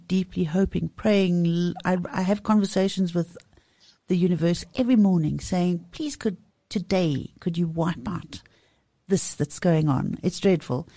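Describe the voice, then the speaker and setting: A woman's voice: fairly low pitch, gravelly, dark tone, no echo. Playful, effusive woman host. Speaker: radio show host. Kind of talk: casual chat interview.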